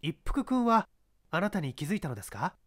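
A man voicing a polite, persistent detective who questions suspects in a measured way in an audiobook. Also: sits high, sing-song, voice pushed down. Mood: inquisitive. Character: composed, probing male detective questioning a suspect. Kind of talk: dramatised audiobook reading.

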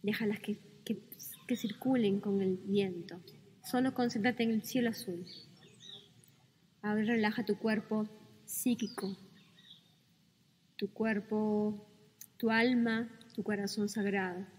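A woman leading a guided meditation, speaking calmly and soothingly with gentle pauses between phrases. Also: mid-range voice, slight background noise. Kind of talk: guided meditation, slow spoken instructions. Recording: long reverb with music behind.

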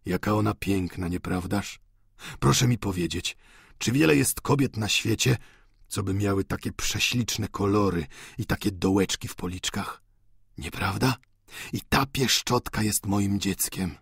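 A man's voice: on the low side, measured pace, creaky, a bit bright, breathy edge, no echo, studio quality, full character voice. A man voicing a doting old father, effusive and sentimental, pleading fervently with the daughter he adores. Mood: rapturous. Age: older.

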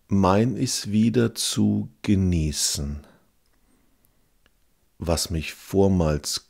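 A man's voice: deep voice, vocal fry, darkish tone, husky. A man reciting a poem as a reader. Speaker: poetry reader. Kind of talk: slow poetry recitation.

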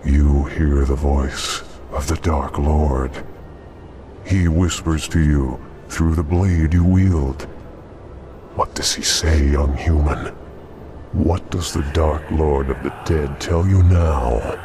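A man's voice: slow pace, noisy background. A man voicing a towering, sinister demon lord in a video game, smug and taunting, with a weighty, grandiose delivery. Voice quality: very deep, weighty. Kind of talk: video game cutscene acting.

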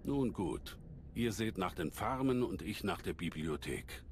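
An actor playing a wise, calm old Jedi master in a video game, speaking in a measured, solemn way. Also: male voice, deep voice, a little hiss or hum. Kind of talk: dubbed video game cutscene acting.

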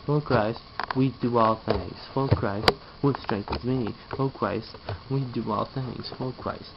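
A man's voice: medium pitch, slow. A tired, yawning young man talking drowsily while lying in a small bedroom, then rapping verses into his webcam. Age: young adult. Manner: drowsy, mumbling, trailing off. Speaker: vlogger. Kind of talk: casual vlog with rapped verses. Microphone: webcam held close overhead. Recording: dull lo-fi webcam audio with noise.

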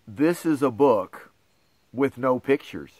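A man's voice: medium pitch, lively intonation, takes it slowly, darkish tone, low-quality recording. A middle-aged man reading a picture book aloud to children with theatrical, exaggerated dismay and astonishment. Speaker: pastor reading to schoolchildren.

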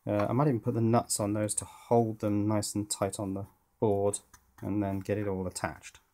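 A man's voice: on the low side, level tone, moderate pace, mellow. A man hosting an electronics build, talking informally through hands-on work and thinking aloud with some hesitation. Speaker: host of an electronics project video. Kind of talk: informal hands-on workshop commentary while building. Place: indoors at a workbench.

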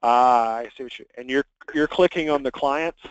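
A man leading a software training conference call, talking conversationally and instructively as he walks listeners through things. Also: medium pitch, normal speed, smooth, no room sound, highs cut off. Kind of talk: conversational screen-share walkthrough. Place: conference call with screen share.

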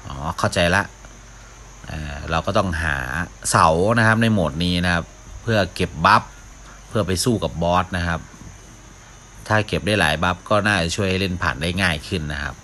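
Deep-voiced man doing casual game commentary. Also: normal speed, bright, forceful tone, a little hiss or hum. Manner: mouths sound effects, talks to himself while playing. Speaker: gaming video host. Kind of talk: casual live gameplay commentary.

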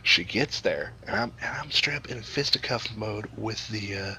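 A man's voice: on the low side, animated, moderate pace, sharp tone, a little hiss or hum. A man improvising a crude comic story to a group, swearing freely and rambling in a mock-serious way. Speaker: storyteller, host.

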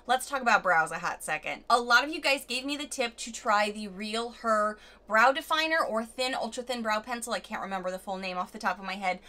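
A woman in her thirties chatting through a makeup review vlog at home, bubbly and gushing, a little raspy from a cold. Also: on the high side, fairly quick, few pauses. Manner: bubbly, gushing, animated hand gestures. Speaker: beauty vlogger. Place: home room, indoors.

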